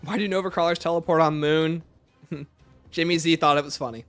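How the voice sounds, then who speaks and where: A man's voice: a little high, steady tone, a little fast, a bit bright, soft background music. A man hosting a livestream, chatting casually as he takes viewer questions and answers them offhand. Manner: reads questions aloud, then answers offhand. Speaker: livestream host.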